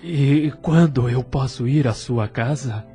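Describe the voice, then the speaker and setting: An actor with a Brazilian accent playing a courteous, lonely, grieving widower in an audio drama, speaking in a formal, halting way. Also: male voice, mid-range voice, dark tone, lightly acted. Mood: hesitant. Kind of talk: Portuguese-language audio drama acting.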